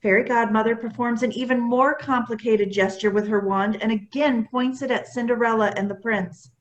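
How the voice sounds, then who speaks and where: A woman's voice: a little high, fairly even intonation, normal speed, lo-fi sound. A woman reading scripted stage directions as narrator of a staged play reading.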